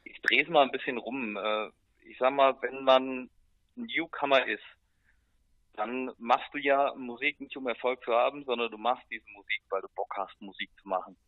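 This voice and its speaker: A man speaking casually and colloquially as a musician in an interview, with hesitant pauses and filler sounds. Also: medium pitch, no echo, narrow, tinny audio. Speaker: metal band musician, interview guest.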